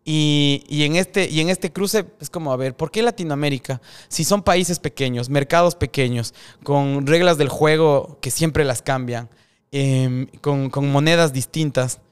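A man's voice: fairly high pitch, a little slow, smooth. A man from Ecuador, hosting a podcast interview in a candid, talkative, reflective way. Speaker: podcast host. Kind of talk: casual interview chat.

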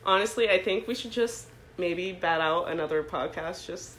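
A woman's voice: lowish voice, normal speed, a bit bright. A young woman from Ohio co-hosting a casual podcast, playful and laughing often, recorded without a proper microphone. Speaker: podcast co-host. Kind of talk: casual chat. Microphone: game controller, no microphone.

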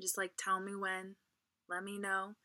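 Bright bubbly Californian woman host. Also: medium pitch, completely flat delivery, a little slow. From California, USA. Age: young adult. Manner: bubbly, laughs often, fillers of "like". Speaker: podcast host. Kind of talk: casual chat.

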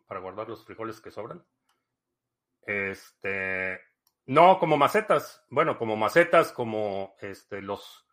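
A man, hosting a podcast, answering listener questions in a relaxed, rambling chat full of fillers. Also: lowish voice. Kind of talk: casual live-stream chat.

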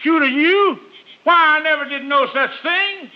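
An actor playing a hard-of-hearing, befuddled country ranch hand in a 1950s radio drama, folksy and rambling. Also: male voice, extremely high pitch, a little slow. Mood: indignant. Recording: muffled old radio recording.

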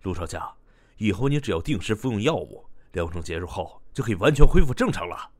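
A man acts out an excitable male research doctor in an audio drama. His low voice swings widely, with exclamations and a slightly rough edge. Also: fairly quick. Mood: reassuring. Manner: stammering when excited, exclamatory. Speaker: voice actor. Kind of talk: modern Chinese audio drama acting.

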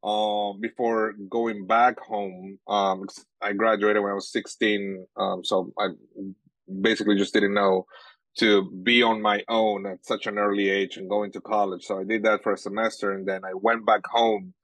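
Low-voiced Venezuelan man, dry sound. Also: little pitch movement, a bit bright, dry recording, low-quality recording. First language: Spanish. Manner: halting, many fillers and restarts. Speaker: sports journalist guest. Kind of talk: casual interview answers.

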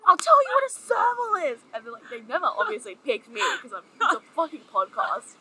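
A young woman co-hosting a podcast in casual chat, talking with lots of "like" and animated retelling. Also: sits high, brisk, rough recording. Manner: chatty, heavy use of "like", animated storytelling. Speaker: podcast co-host.

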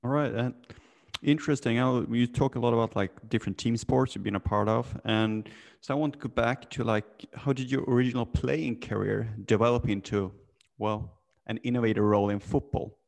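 Male co-host, gravelly, casual; recorded with long room reverb. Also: medium pitch, steady tone, brisk, a little mellow. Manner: casual, uses "like" as filler. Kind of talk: interview questions.

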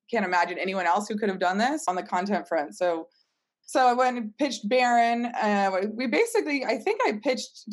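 A woman speaking casually as a startup founder in an interview, telling stories and voicing her own past reactions. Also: fairly high pitch. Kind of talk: casual conversational storytelling.

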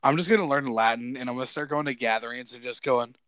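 A man's voice: medium pitch, quick pace, a bit bright, dry recording. A young man co-hosting a casual podcast chat, joking crudely and swearing freely. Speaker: podcast co-host.

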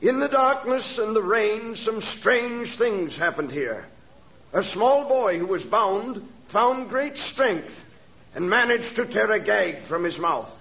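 An actor playing a gentle, devout missionary reverend with a solemn sermon delivery in 1930s radio drama style. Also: male voice, high-pitched, animated, slow pace, creaky, full character voice. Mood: solemn preaching. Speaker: missionary preacher. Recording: dull old radio transcription.

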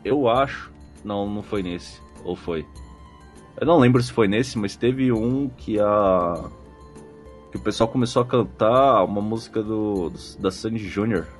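A Brazilian man with a fairly deep voice co-hosts a relaxed podcast. He talks informally, with slang and jokes, while reading out comments. Faint music plays behind him. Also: a little slow. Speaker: podcast host. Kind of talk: casual chat, reading listener comments. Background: background music.

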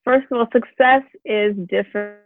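Gravelly woman on a tinny line. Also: on the high side, steady tone, moderate pace, no room sound, soft background music. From Canada. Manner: earnest, upbeat, many "um" and "you know" fillers. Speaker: success coach, guest. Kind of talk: casual interview answers.

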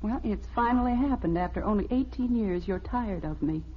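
An American actress playing a patient, gently teasing middle-aged wife and mother in an old radio comedy. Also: medium pitch, lively intonation, brisk, breathy edge. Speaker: actor. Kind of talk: old-time radio family comedy acting. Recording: dull old radio recording.

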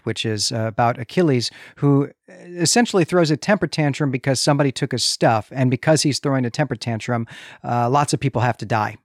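A man's voice: medium pitch, no echo, studio quality. A man co-hosting a conversational film discussion in a thoughtful, articulate, analytical way. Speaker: podcast co-host.

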